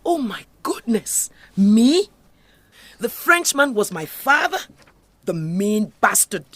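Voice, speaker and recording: A woman's voice: mid-range voice, very animated, takes it slowly, fairly bright tone, no room sound, full character voice. A young woman playing an emotional, incredulous Nigerian student daughter in an audio drama. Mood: indignant.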